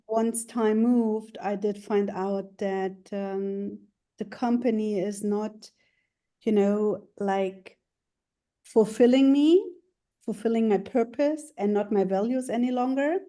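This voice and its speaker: A woman from Germany in her thirties answers interview questions about her coaching work. Her voice is mellow and a touch high, and her unhurried speech is full of ums and restarts. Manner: hesitant, many fillers and restarts. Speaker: life coach, interview guest.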